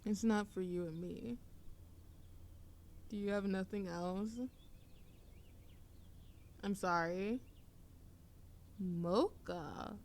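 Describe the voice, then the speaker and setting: Slow-talking, teasing young woman played by an actress. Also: medium pitch, steady tone, darkish tone. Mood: wistful, mock-poetic. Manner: playful, teasing, breathy laughs and drawn-out phrases. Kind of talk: intimate roleplay audio acting, one-sided dialogue.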